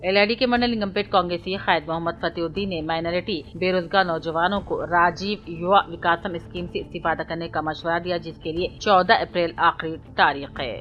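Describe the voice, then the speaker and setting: A woman reads a news bulletin in a fairly low voice. Her delivery is formal and scripted, in the manner of a news reader. Light music plays underneath. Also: steady tone, brisk, hardly any pauses, lo-fi sound. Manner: formal, reading out items one after another. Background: background music.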